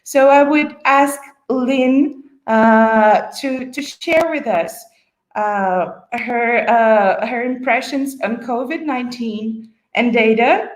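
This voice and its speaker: A woman's voice: fairly high pitch, slow, reverberant, poor audio. A woman moderating a panel discussion, posing questions with frequent hesitant fillers.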